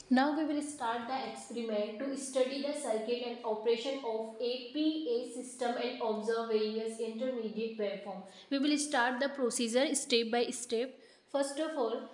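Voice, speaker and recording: A woman's voice: on the high side, a little mellow. Young Indian-accented woman in an echoey room. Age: 20s. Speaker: product demonstrator. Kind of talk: step-by-step instructional demonstration. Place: office showroom with shelves, indoors. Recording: roomy, echoing indoor recording.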